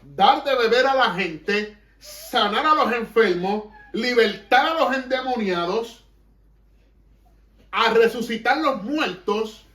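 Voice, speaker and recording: Fervent Puerto Rican preacher, echoey room. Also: male voice, very high voice, expressive, slow, pushed and bright. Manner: fervent, emphatic preaching that slips between Spanish and English. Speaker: pastor. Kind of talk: preaching and praying to a congregation. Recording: echoey room, mic at a distance.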